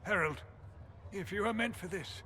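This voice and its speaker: An actor playing a devout, earnest male church chancellor who speaks in a halting, reverent way, in a video game cutscene. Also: sits high, sharp tone, a little hiss or hum.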